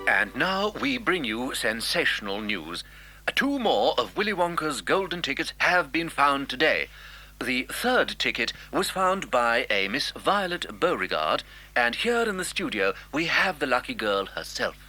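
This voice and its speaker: An actor playing a formal, excited radio newsreader and studio interviewer in an audio drama, announcing crisply. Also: male voice, medium pitch, unhurried, heavily acted. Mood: formal, sensational. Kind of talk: mock news broadcast in audio drama.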